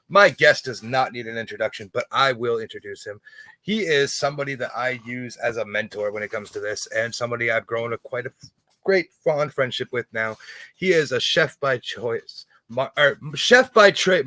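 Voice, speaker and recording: Canadian-raised guy hosting, bright edgy voice, dry close sound, trips over his questions. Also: mid-range voice, no room sound. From Toronto, Canada. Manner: friendly, stumbling questioner. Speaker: podcast host. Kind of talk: casual interview.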